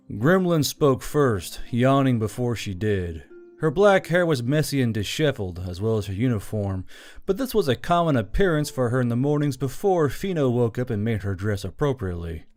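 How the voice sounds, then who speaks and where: Man, medium pitch, scripted fiction narration, storyteller, light background music. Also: sing-song, moderate pace, darkish tone.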